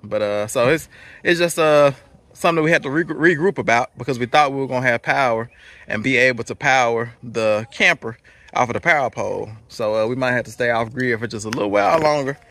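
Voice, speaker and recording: A man's voice: mid-range voice, brisk, creaky, no room sound. Laid-back man vlogging outdoors. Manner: relaxed, rambling, with fillers. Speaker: vlog host. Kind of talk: casual vlog narration. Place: outdoors in a rural yard. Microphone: phone camera at arm's length.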